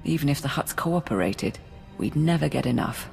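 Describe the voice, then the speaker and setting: A woman's voice: rumbling low, expressive, normal speed, creaky, a little mellow, soft background music, full character voice. A woman playing a practical, anxious imperial officer in a video game, speaking deferentially to superiors. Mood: worried, practical. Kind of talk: scripted video game acting.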